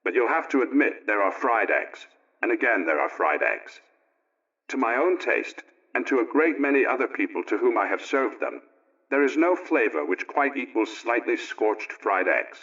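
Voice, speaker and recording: An actor plays a middle-aged family man on 1920s radio, earnest and folksy. He reads in a plain-spoken, politely opinionated way at a medium pitch. The recording is dull and old-fashioned. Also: animated, normal speed, a bit bright. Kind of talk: 1920s radio scripted letter reading.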